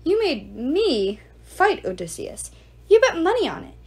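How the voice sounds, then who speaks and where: Young male hero disguised as a beggar, read by a woman; tense, accusing, fairly high. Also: sing-song, unhurried. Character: young male demigod posing as an old beggar.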